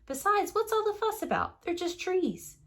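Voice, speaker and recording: A woman's voice: very high-pitched, very animated, normal speed, a bit bright, pitched above the performer's own voice, full character voice. A woman voicing a grumpy, stubborn father bear with a grumbling, blustery manner in a children's storybook. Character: grumpy, stubborn papa bear, dismissive woodsman.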